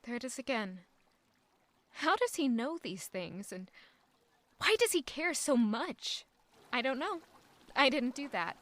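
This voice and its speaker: Woman playing a guarded young maid, high bright voice, short defensive answers, audio drama. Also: sing-song, a little slow, long pauses, exaggerated voice. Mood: guarded, curt. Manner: curt, defensive replies.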